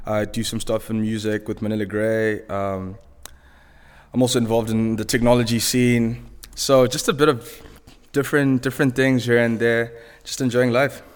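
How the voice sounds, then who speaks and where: A man's voice: medium pitch, level tone, normal speed, clean tone, big-room sound. A young man with a Zimbabwean accent, a podcast guest telling stories in a laid-back, easygoing way. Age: young adult. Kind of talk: casual storytelling.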